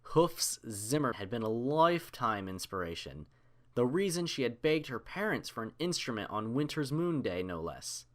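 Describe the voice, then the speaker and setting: A man's voice: medium pitch, very animated, moderate pace, fairly bright tone. A man reading scripted fiction as an audiobook narrator.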